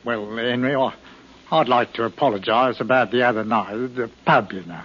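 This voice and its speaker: An actor playing a nervous, guilty widower with a stammering, evasive manner in 1940s radio drama style. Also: male voice, medium pitch, moderate pace, breathy edge, close to an everyday voice. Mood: hesitant. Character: nervous, guilty English widower, jumpy and suspicious. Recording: muffled, noisy 1940s radio recording.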